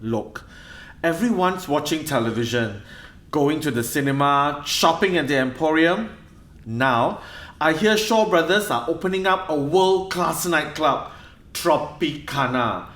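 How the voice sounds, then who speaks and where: A roomy recording of a grumbling Singaporean nightclub boss, played by a man in a radio drama. His voice sits a little high and moves up and down a lot. He is harried, cutting and sarcastic. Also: animated, unhurried, a bit bright. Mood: gloomy, grumbling. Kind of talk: radio play acting.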